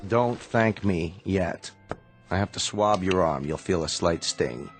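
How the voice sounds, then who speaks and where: An actor playing a grave, protective American doctor in a radio drama, measured, somber and terse. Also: male voice, low voice, soft background music. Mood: troubled, hesitant. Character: grave, protective doctor, reluctant brother of the patient.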